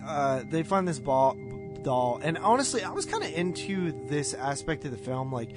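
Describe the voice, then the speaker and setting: A man's voice: a little high, sing-song, normal speed, over music. A man co-hosting a podcast, talking through a movie review in a rambling, informal way. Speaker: podcast co-host. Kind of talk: casual movie review chat.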